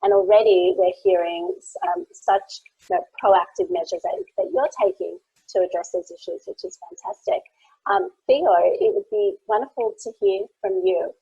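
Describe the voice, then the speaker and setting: A woman moderates a webinar over a video call, her husky, gravelly voice rising and falling animatedly. She sounds mellow and enthusiastic, with plenty of fillers. The call audio is dull and dry. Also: medium pitch, very animated, normal speed, no echo. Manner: enthusiastic, praising, many fillers.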